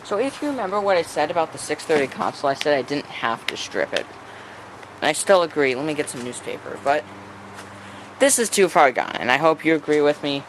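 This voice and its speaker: A man's voice: a little high, sing-song, a little fast. A man talking casually while he restores an old radio, thinking aloud and making chatty asides as he works. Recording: noisy workshop recording.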